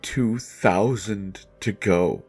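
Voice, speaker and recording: A man playing a distraught, haunted writer in prison, telling his horror story in a halting, stammering first-person monologue. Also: fairly low pitch, a little mellow, breathy edge, faint music behind, full character voice. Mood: grim, dread-filled. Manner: halting, stammering, repeating words. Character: haunted, distraught male writer jailed for his son's death.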